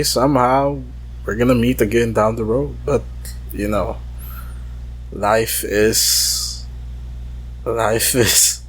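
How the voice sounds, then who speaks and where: A man leaves a confessional personal message in a fairly low voice. He speaks very slowly and wistfully, halting often, with faint noise behind him. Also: low-quality recording. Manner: halting, wistful, with long pauses. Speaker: voicemail caller.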